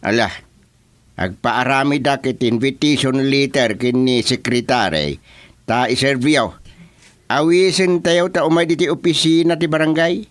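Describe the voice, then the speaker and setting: An actor playing a flustered, hesitant village chairman in a radio comedy drama, with an Ilocano accent and halting, stammering speech. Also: mid-range voice, lightly acted. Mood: bewildered.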